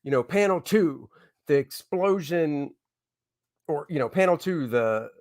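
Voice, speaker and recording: A man's voice: on the high side, animated, unhurried, a little mellow, dry recording, studio quality. A man hosting a casual livestream as a comic book artist, chatting informally with viewers and reading out their comments.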